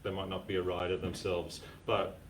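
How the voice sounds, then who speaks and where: Low-voiced guy cohosting a live stream, lots of ums, dry humor, sounds a bit away from the mic. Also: little pitch movement. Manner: easygoing, halting, with many "um"s and dry jokes. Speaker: co-host. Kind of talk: casual live-stream chat. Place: community repair centre. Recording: distant mic with faint background noise.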